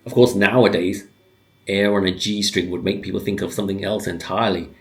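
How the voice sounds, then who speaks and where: A man in his fifties, born in Hong Kong and raised in the West, giving reflective, unscripted commentary in a halting, musing way with pauses mid-sentence. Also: low-pitched, fairly even intonation. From born in Hong Kong, grew up in the West, living in the UK. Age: 50s. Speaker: video host giving commentary.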